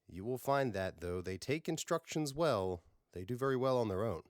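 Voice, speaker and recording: A man's voice: medium pitch, animated, moderate pace, no echo, clean studio sound, pitched above the performer's own voice, close to an everyday voice. A man voicing a confident, earnest space crew leader with formal phrasing in an audiobook. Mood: assured.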